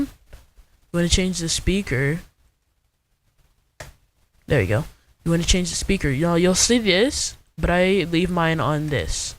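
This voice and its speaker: A young adult host giving an informal, step-by-step screen tutorial in a casual way. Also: mid-range voice. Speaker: video host.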